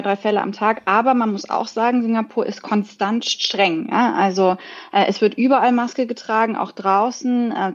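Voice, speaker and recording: A woman in her thirties from Germany, answering interview questions conversationally as a startup founder and podcast guest. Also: medium pitch. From Berlin, Germany. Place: hotel room, remote call. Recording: dull, lo-fi remote connection.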